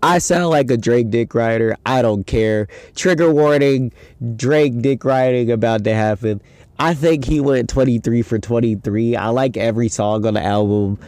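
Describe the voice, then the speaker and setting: A man's voice: medium pitch, normal speed, a little mellow, no echo. A young man hosting a casual solo podcast, talking in a loose, rambling, slangy way with a stuffy nose from a head cold. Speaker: podcast host.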